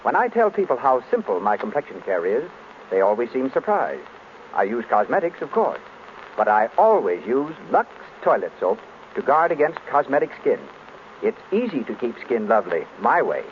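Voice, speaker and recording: Animated male old-time radio ad announcer. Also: mid-range voice, moderate pace. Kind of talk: 1930s radio advertisement read. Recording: dull, noisy old radio recording.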